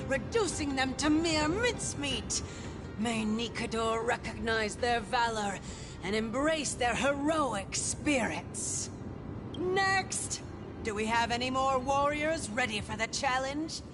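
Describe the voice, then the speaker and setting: A woman's voice: on the high side, animated, slow pace. A woman playing a haughty, mocking herald of a brutal warrior festival in a video game, declaiming theatrically and with scorn. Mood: grand, solemn. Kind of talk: grand theatrical game voice acting. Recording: loud game music underneath.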